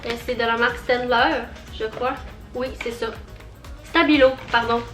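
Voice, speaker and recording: A young Quebec woman chats in a high, bubbly voice, rambling and filling her sentences with little fillers. Her voice rings a bit in an echoey room. Soft music plays quietly beneath her. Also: steady tone, normal speed. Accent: Quebec French. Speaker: vlogger. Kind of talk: casual haul vlog.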